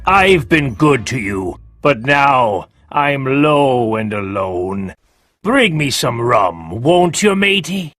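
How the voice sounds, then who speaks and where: Fierce, sickly old seaman, read by a male narrator: gravelly, husky, lively pitch, dry sound with faint music. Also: slow pace, no room sound, heavily acted. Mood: pleading. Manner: drawling, pleading and cursing. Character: sick, fierce old male sea captain.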